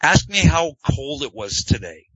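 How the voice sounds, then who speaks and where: A man's voice: fairly high pitch, very animated, a little slow, fairly bright tone, slightly rough, dry recording. Sarcastic Canadian man hosting. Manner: sarcastic, joking. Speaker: podcast host. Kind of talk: casual chat. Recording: dull lo-fi dry sound.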